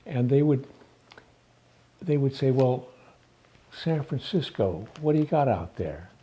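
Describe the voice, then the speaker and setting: An elderly American man in his eighties or older with a husky, aged voice, reminiscing in an interview and telling anecdotes with long pauses between phrases. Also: medium pitch, animated, warm tone. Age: 80s or older. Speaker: interviewee, veteran photographer. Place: small indoor room with framed photographs.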